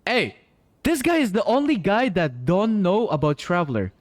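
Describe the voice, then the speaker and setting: A man's voice: sits high, very animated, moderate pace. A man streaming a game, reacting casually in slangy speech to his chat.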